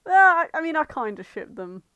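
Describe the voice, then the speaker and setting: A woman's voice: high voice, big pitch swings, brisk, bright, forceful tone, no echo, lo-fi sound. A woman co-hosting a fan podcast in casual chat, informal, opinionated and happy to swear. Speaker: podcast co-host.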